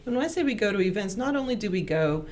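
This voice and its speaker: A woman's voice: mid-range voice, lively intonation, quick pace, darkish tone. A woman co-hosting a talk show, talking enthusiastically through examples. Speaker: co-host.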